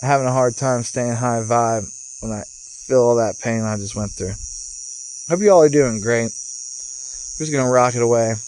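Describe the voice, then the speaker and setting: A man speaking as a vlogger in a casual, off-the-cuff spiritual monologue, musing in broken phrases with pauses. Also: mid-range voice, darkish tone, a little hiss or hum, rough recording. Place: outdoors, sitting in a rocking chair. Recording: outdoor recording with chirping insects. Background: cicadas or crickets.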